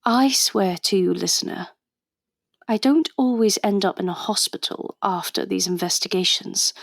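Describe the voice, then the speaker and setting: A woman's voice: mid-range voice, creaky, no room sound, clean studio sound. A woman playing a witty, stubborn Edwardian undercover agent in a radio drama, British accent, with formal, chatty period phrasing. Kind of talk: radio drama acting and first-person narration.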